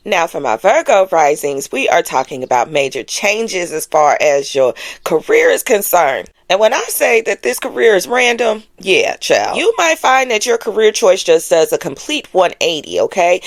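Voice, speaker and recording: A woman's voice: lowish voice, no room sound. A woman giving a casual astrology forecast as host, in a sassy, playful, conversational way with slangy, emphatic phrasing.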